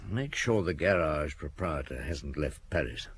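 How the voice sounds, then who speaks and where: Deep, husky police chief. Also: male voice, expressive, measured pace, heavily acted. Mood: terse, probing. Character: unhurried, shrewd police chief, terse and probing. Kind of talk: audio drama acting.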